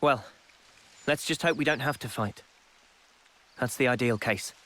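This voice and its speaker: A man voicing a calm, earnest young male soldier leader in a video game, explaining things in a measured way. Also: mid-range voice. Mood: steady, resolved. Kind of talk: video game cutscene dialogue.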